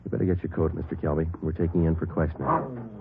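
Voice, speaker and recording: A businesslike American police detective in an old radio drama. His voice is low and deadpan, rattling along briskly in clipped procedural sentences. The sound is narrow vintage radio with music. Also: noisy background. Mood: firm. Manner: clipped, deadpan, matter-of-fact. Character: male police sergeant, terse, unflappable, businesslike narrator. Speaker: actor playing lead police detective and narrator. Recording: band-limited old radio recording with music.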